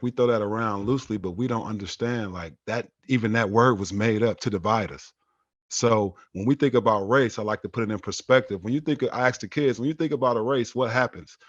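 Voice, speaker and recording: A man's voice: on the low side, no room sound, highs cut off. A man, an educator and podcast co-host, explaining his views in a firm, emphatic way. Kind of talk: casual chat with teaching anecdotes.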